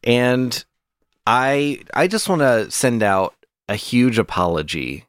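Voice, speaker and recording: A man's voice: mid-range voice, sing-song, slow pace, clean tone, no room sound. A man co-hosting a podcast, trading dry jokes in casual banter. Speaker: podcast co-host.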